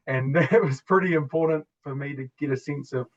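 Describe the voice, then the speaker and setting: A man in his twenties with a New Zealand accent, a guest in an interview speaking reflectively and earnestly with soft laughs. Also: a little high, fairly quick, dull audio. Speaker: guest, former student. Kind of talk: conversational interview.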